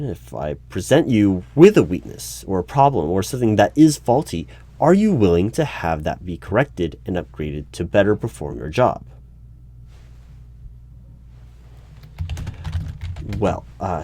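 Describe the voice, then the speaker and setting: A man playing a wary, probing hiring manager in a one-sided audio roleplay, speaking haltingly with stammers and repeats. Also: deep voice, darkish tone. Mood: probing, critical. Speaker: hiring manager at a secret agency. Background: computer keyboard typing.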